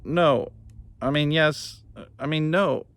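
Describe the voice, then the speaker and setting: A man's voice: on the high side, slow, a bit bright, voice pushed up. Man as the flustered, polite Frog Prince. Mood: anxious, eager. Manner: hesitant, stammering. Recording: noticeable background noise.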